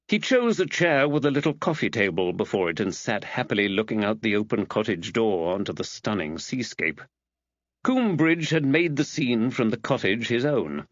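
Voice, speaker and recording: Animated male audiobook narrator, slightly low voice. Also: no room sound, highs cut off. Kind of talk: scripted mystery narration.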